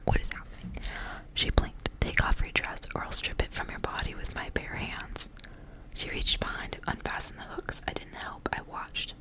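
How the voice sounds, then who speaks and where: Whispering woman reading ASMR. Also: moderate pace. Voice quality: soft breathy whisper. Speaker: ASMR host reading a storybook aloud. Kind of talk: whispered ASMR story reading with casual asides.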